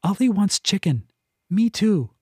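A man's voice: high-pitched, big pitch swings, a little slow, darkish tone, husky, no echo, studio quality, voice pushed up, exaggerated voice. A man voicing a cheeky, greedy female cat who makes demands in a raised voice.

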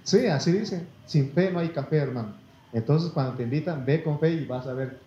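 A man's voice: a little high, moderate pace, mellow. A man preaching a sermon with a Mexican Spanish accent, reading Bible verses and speaking folksily to his listeners as brother. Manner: folksy, addresses listeners as brother, asks for amens. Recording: echoey room, slightly muffled.

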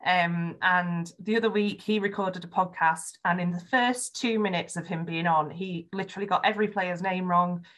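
A woman's voice: lowish voice, steady tone, normal speed, few pauses, a bit bright. A woman with a Yorkshire accent, speaking frankly and earnestly as a podcast guest in a conversational interview, with frequent ums. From Yorkshire, England.

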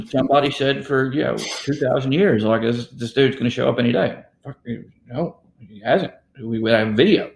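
A man from rural Mississippi talks bluntly in a relaxed podcast chat, his voice rough and gravelly. He argues his point hard, and the room around him rings with noticeable echo. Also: mid-range voice. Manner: blunt, argumentative, profane. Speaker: podcast co-host. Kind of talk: casual discussion. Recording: roomy echo.

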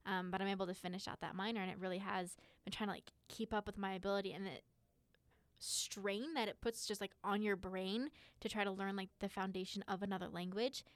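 A woman's voice: mid-range voice, steady tone, brisk, dry recording. A young woman in college talking eagerly and earnestly in a casual podcast chat. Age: young adult. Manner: enthusiastic, talkative, earnest.

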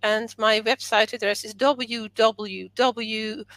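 A middle-aged woman whose first language is Dutch talks as a podcast guest, her voice bright and fairly high. She is thoughtful and reflective, letting long sentences flow. The sound is dry and close. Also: fairly even intonation, slow pace, no echo. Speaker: author and teacher, podcast guest. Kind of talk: conversational interview.

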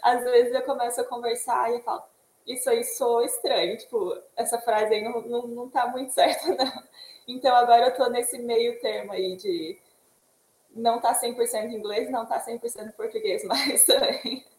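A woman's voice: on the high side, steady tone, normal speed, a little mellow. Easygoing Brazilian woman on an echoey call. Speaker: interview guest. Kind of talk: casual interview chat.

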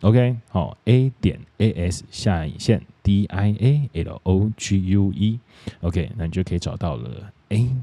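A man's voice: low-pitched, animated, a little slow, hardly any pauses, mellow. A man with a Taiwanese Mandarin accent hosting a podcast in a casual, chatty way. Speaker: podcast host. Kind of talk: casual chat.

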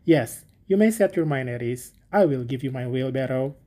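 A self-satisfied miller from a storybook, voiced by a woman reader, pompous and preachy. The voice sits low and mellow, barely shifted from her own. He lectures with smug calm. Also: normal speed. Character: smug, selfish male miller preaching friendship. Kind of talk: storybook reading.

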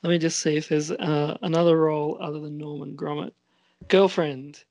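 A man chatting casually and hesitantly as an amateur podcast performer, often pausing as he thinks aloud. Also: on the high side, little pitch movement, vocal fry, darkish tone, no room sound. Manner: hesitant, halting. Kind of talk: casual chat.

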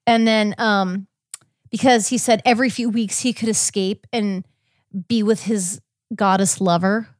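A woman hosts a podcast in a chatty, informal style. Her voice sits at a middling pitch for a woman. The sound is dry and close, and she retells events conversationally, as if to a friend. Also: fairly even intonation, unhurried, dry recording. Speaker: podcast host. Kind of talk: casual conversational recap of a court case.

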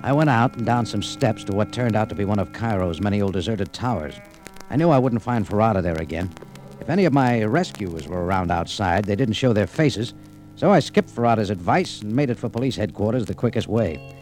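An actor playing a tough, wry American cafe owner in a radio adventure, with clipped, sardonic, hard-boiled delivery. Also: male voice, fairly low pitch, lively intonation, a little fast, a little mellow, faint music behind, lightly acted. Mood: tense, hard-boiled. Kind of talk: 1940s radio noir acting with first-person narration. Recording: noisy old radio recording with clicks.

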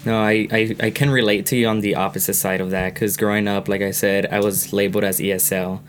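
A young man from California talking earnestly on a podcast, getting animated as he tells his own story. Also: lowish voice, fairly quick, a little hiss or hum. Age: young adult. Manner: earnest, animated when retelling. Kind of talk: casual podcast conversation.

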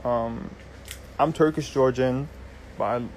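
A man's voice: medium pitch, steady tone, takes it slowly, a little hiss or hum, rough recording. Chatty man answering questions. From Germany. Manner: chatty, explanatory, many ums and restarts. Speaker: host. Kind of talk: casual live Q&A, answering viewer questions.

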